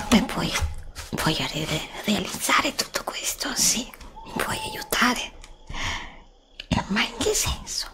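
A woman voicing a frightened, weary, distrustful heroine lost in a forest in an ASMR roleplay, breathy, plaintive and hesitant, close to the mic. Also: on the high side, heavily acted. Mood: plaintive, wary. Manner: whispered, breathy, plaintive, hesitant with repeated phrases. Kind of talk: ASMR roleplay, whispered acting. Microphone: close desktop USB microphone.

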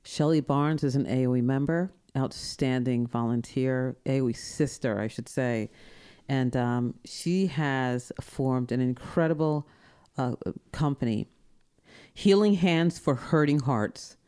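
A woman's voice: extremely low pitch, measured pace, warm tone, smooth. A woman hosting a podcast in a studio, chatting in an effusive, upbeat way. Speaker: podcast host. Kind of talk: casual chat.

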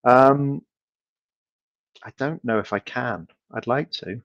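A man's voice: medium pitch, slow pace, no echo, muffled recording. Hesitant man answering questions on a video call. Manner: relaxed, hesitant, many ums and restarts, laughs easily. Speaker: company speaker answering audience questions. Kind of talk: casual conference Q&A. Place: home room, video call.

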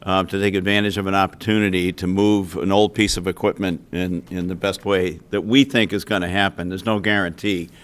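Deep-voiced older man on a town council. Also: fairly even intonation, fairly bright tone. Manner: measured, explanatory. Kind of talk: council meeting remarks. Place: council chamber.

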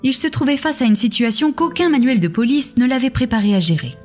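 A woman's voice: high voice, a little fast, darkish tone. A woman narrating scripted audio fiction in a literary storytelling style. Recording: music behind the voice.

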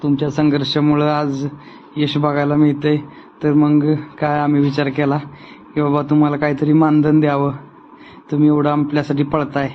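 A man's voice: a little high, monotone, normal speed, a little hiss or hum. A man making an informal phone call, heard on a recorded line. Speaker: phone caller. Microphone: telephone.

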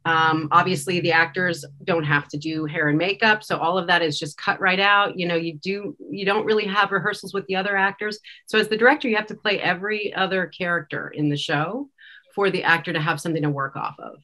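A woman's voice: lowish voice, fairly even intonation, fairly quick, pushed and bright, poor audio. A woman speaking conversationally and emphatically as an interviewed director on a video call. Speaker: podcast director and actor interviewed. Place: indoor room, video call. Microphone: video call microphone.

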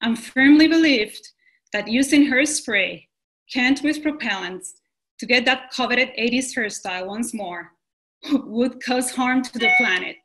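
A woman from Rico speaks with a slightly high voice. She presents as a pediatrician in an earnest, measured manner, reading her script over a video call from a room at home. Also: a bit bright, low-quality recording. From Puerto Rico. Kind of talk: prepared presentation.

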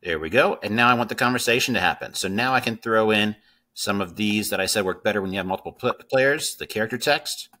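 Middle-aged man, tutorial host, conversational delivery with a low, crisp-edged bright voice. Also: fast talker. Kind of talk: casual screen-share coding tutorial.

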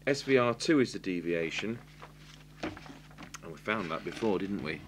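Deep-voiced English man, matter-of-fact. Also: expressive, a little slow, background noise. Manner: matter-of-fact, thinking aloud. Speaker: radio repair technician, presenter. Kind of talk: informal hands-on repair demonstration commentary. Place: indoors at a workbench.

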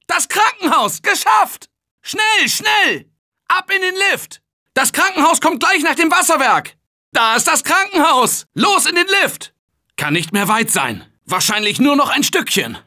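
A male actor playing a confident, energetic survivor in a German video game dub, shouting warnings and calling directions. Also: very high-pitched, heavily acted. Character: upbeat male office worker survivor, confident. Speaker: game character.

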